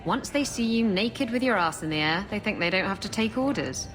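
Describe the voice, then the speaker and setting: A woman's voice: medium pitch, expressive, brisk, a bit bright, faint music behind, close to an everyday voice. An actress playing a brash, flirtatious female pirate captain in a game, cheeky, sardonic and theatrical. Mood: wry, bragging.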